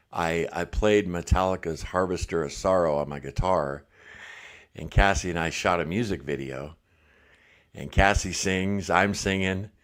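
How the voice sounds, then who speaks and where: A middle-aged man hosting a casual livestream, chatting and reading comments, a little congested. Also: deep voice. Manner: relaxed, chatty, laughs often. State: congested, blocked ear. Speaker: livestream host.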